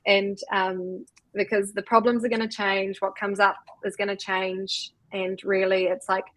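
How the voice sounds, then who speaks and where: A woman's voice: medium pitch, flat delivery, a little slow, fairly bright tone, no echo, poor audio. A woman co-founder from New Zealand answering interview questions in a reflective, casual way, with many fillers. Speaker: co-founder and co-CEO, guest.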